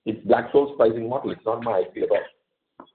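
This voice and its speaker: A man's voice: medium pitch, brisk. Indian-accented male executive on a muffled call. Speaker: company executive answering investor questions. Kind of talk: earnings call answers, unscripted explanation. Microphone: conference call line. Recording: dull lo-fi conference call line.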